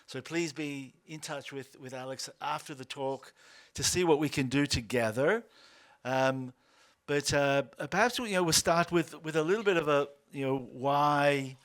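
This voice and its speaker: Halting Australian man, smooth voice. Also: on the high side, fairly bright tone. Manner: halting, many fillers and restarts. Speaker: organization co-founder. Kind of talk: speaking at a lunch talk.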